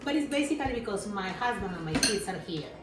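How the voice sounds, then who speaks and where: A woman's voice: medium pitch, lively intonation, fast talker. A woman from Peru with a Peruvian Spanish accent, a native Spanish speaker, chatting casually as a co-host helping to cook. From coastal Peru. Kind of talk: casual chat. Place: indoor kitchen with cutlery and dishes. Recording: roomy kitchen, distant mic, music underneath.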